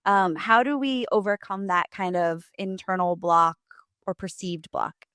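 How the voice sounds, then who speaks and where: A woman's voice: lowish voice, steady tone, a little slow, fairly bright tone, clear, resonant tone, no room sound, studio quality. A woman hosting a podcast, chatting casually and chiming in with short reactions. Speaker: podcast host.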